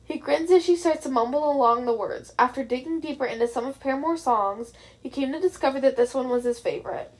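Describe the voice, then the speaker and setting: A woman's voice: high voice, brisk, a little mellow. A woman reading fan fiction aloud as an amateur narrator, in scripted storytelling with casual asides.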